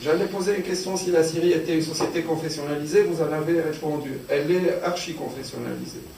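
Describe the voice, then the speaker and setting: A man's voice: fairly high pitch, fast, dark tone, a little hiss or hum. A man in the audience making a firm, concerned comment from the floor at a public lecture. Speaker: audience member. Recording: distant mic in an echoey hall.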